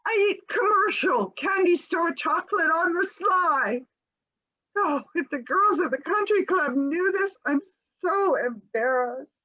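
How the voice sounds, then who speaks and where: A woman's voice: very high-pitched, expressive, unhurried, bright, forceful tone, muffled recording, exaggerated voice. A woman playing a fluttery, evasive, genteel housewife in a comic stage scene, over-polite and theatrical. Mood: coyly confessing.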